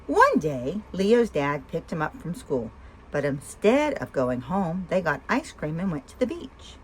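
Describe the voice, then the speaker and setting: A woman with a fairly low voice reads a children's picture book aloud. Her pitch moves widely as she tells the story and puts questions to young listeners. There is faint-to-clear noise behind her. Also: big pitch swings, a little slow, poor audio. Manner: asks listeners simple questions between story lines. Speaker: storyteller and host of a read-aloud show.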